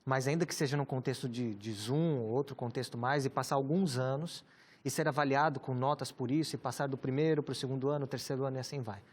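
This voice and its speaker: A man with a Brazilian Portuguese accent, a pastor teaching about the Bible in a measured, explanatory way, often ending phrases with a questioning tag. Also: mid-range voice, steady tone. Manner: measured, explanatory, with many rhetorical tags.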